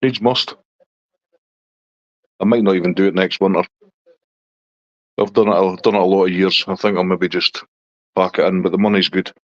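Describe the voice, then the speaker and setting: A man's voice: sits low, fairly even intonation, moderate pace, pauses often, dry recording, muffled recording. A middle-aged man from Scotland with a Scottish accent, chatting casually on a live stream and answering viewers' comments.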